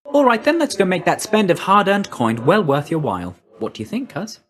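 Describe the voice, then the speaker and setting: A man's voice: sits high, wildly swooping pitch, a little fast, fairly bright tone, exaggerated voice. A man voicing a breezy, chummy young messenger god in a video game, with a slangy, glib, friendly manner.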